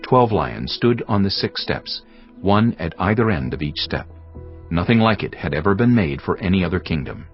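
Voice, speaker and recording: A man narrating scripture from a script in a measured, solemn manner. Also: on the low side. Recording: dull studio recording over background music.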